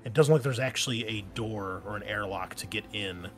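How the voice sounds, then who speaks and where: A man narrating a tabletop roleplaying game as game master, improvising in a halting, conversational way. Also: on the low side. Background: background music.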